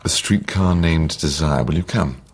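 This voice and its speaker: An actor playing a charming but troubled doctor in a radio drama, with a husky voice and a courteous, intense manner. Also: male voice, extremely low pitch, moderate pace, exaggerated voice. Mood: romantic, playful. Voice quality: gravelly, husky. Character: charming, troubled male doctor, brooding war survivor.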